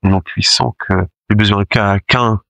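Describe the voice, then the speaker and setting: A man's voice: lowish voice, fairly even intonation, moderate pace, fairly bright tone, dry recording. A man who speaks French as his second language, a choir director talking in a casual interview, hesitant with many fillers and restarts. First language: not French; French is his second language.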